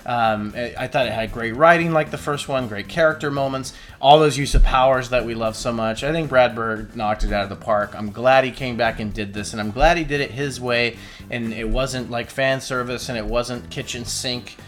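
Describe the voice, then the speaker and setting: A man's voice: mid-range voice, normal speed, a bit bright, soft background music. A man in his forties co-hosting a movie review, talking casually with some swearing and laughter. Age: 40s. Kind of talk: casual chat.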